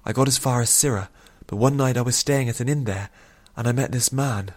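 A man's voice: mid-range voice, fairly quick, voice pushed up, close to an everyday voice. A man voicing a young runaway princess in a storybook, agitated, pleading and guilty. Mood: tearful confession.

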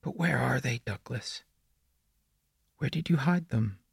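A man voicing a creepy, sinister young boy of the woods in a breathy, coaxing whisper for an audiobook horror story. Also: medium pitch, a little slow, no echo, clean studio sound, voice pushed up, exaggerated voice. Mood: menacing.

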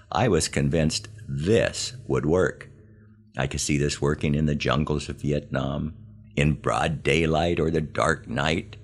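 An older American man tells scripted stories from his own life. His voice sits extremely low and has a heavy, gravelly creak. He delivers it with wry self-deprecation, leaving deliberate pauses for emphasis. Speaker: podcast host and storyteller. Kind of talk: scripted humorous memoir narration.

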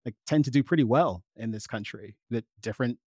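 Measured man explaining, dry recording. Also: mid-range voice, mellow, husky, no echo. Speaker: interview guest. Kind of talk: conversational argument, explanatory.

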